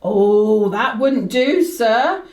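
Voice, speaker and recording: A woman's voice: on the high side, expressive, extremely slow, darkish tone. An actress playing a chatty, deferential country inn landlady in a radio play, folksy and emphatic. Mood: obliging, chatty. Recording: roomy, echoing radio play recording.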